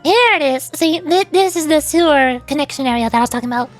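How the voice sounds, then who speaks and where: High-voiced woman chatting casually on stream. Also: expressive, fast talker, faint music behind. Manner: chatty, explanatory, playful asides. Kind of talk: casual rambling live-stream commentary.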